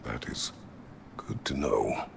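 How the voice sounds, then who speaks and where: An actor playing a stern, stoic warrior god in a video game, speaking tersely and solemnly in a gravelly growl. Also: male voice, very deep voice, slow, in a whisper, heavily acted. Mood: stern, resolute. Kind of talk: dramatic video game acting. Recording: noisy ambience behind.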